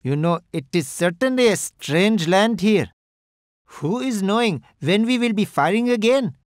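A formal artillery gunner. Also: male voice, high voice, animated, measured pace, no room sound, clean studio sound. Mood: dutiful. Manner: formal, oddly phrased reports. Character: Indian artillery soldier, stiff formal English. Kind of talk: game unit voice lines.

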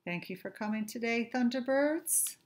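A middle-aged woman, a teacher, reading a children's story aloud with expressive, deliberate phrasing in a room at home. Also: a little high. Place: small furnished room at home.

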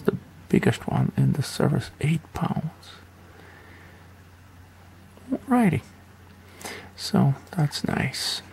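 A man with a heavily gravelly, mellow voice talks through a game tutorial. He rambles in an offhand, hesitant manner, with faint noise behind him. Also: fairly high pitch. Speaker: gaming video creator. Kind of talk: casual game tutorial commentary.

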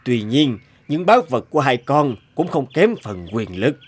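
A man voicing a calm, solemn elderly master of magic in an animated fairy tale, measured and authoritative. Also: medium pitch, husky, soft background music. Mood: benevolent.

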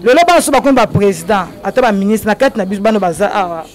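A woman's voice: mid-range voice, expressive, racing, faint music behind. A middle-aged woman, giving an earnest, preaching speech into a handheld microphone in an orphanage courtyard. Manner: earnest, preaching tone, tearful at times. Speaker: NGO president visiting an orphanage. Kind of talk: impromptu speech to a crowd.

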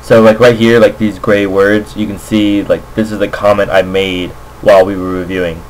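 Man, lowish voice, unscripted game commentary, casual, hesitant, thinking aloud. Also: level tone, moderate pace, a little mellow, slight background noise, poor audio. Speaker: amateur Go player, commentator. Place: indoors at a computer, screen recording voice-over. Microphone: makeshift computer microphone.

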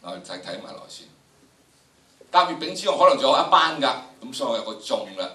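Older male drama instructor, Hong Kong Cantonese accent, bright forceful delivery, heard in an echoey lecture hall. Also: medium pitch, big pitch swings, quick pace, far from the mic. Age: older, about 70s. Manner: theatrical, acting out examples. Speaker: drama teacher, workshop instructor. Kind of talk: animated lecture to students. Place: large lecture hall with student audience. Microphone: handheld microphone through room speakers, recorded from the audience.

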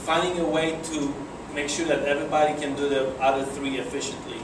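A man's voice: fairly high pitch, little pitch movement, brisk. Polish-accented young man in an echoey room. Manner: casual, hesitant with many fillers and restarts. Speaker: guest speaker. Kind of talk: presentation to a breakfast meeting. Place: conference room, speaking to a seated audience. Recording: echoey room, far from the mic, air conditioning hum.

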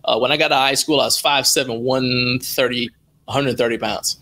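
A middle-aged American man with a bright, forceful voice and a medium pitch. He talks casually as an interview guest, telling stories and slipping into quoted dialogue. He is speaking indoors over a video call. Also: steady tone, fairly quick. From born in Liberia, moved to the United States as a child. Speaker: interview guest, strength athlete and live streamer. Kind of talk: casual anecdotal interview talk.

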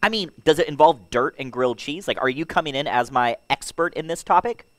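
A man's voice: a little high, lively intonation, a little fast, fairly bright tone, no room sound. A man hosting an educational program in a playful, jokey way.

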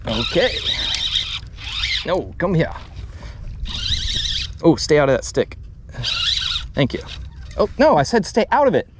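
A man in his thirties on a small boat, chatting excitedly and poking fun at himself as he fly fishes on camera. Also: fairly high pitch, slow pace, pauses often, very noisy. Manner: excitable, chatty, self-deprecating. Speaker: fly fishing vlogger. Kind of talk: casual on-camera fishing narration. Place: small open boat on the water outdoors. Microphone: action camera on the boat.

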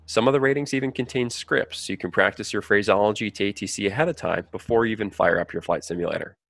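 A man's voice: lowish voice, fast talker, vocal fry, fairly bright tone, dry recording. A man giving scripted, explanatory narration for a training video. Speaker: narrator of a flight training promo.

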